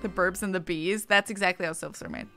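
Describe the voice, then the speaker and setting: A woman's voice: lowish voice, steady tone, quick pace, sharp tone, soft background music. A woman game streamer giving casual playthrough commentary.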